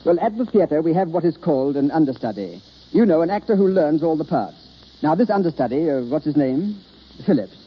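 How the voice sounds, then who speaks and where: A vain stage star in an old radio drama. His voice is fairly high and husky, swinging widely as he speaks with grand self-importance. The sound is dull and dated. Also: lively intonation, fairly quick. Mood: condescending. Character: vain, pompous leading stage actor, a man. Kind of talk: 1930s American radio acting. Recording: muffled old radio broadcast.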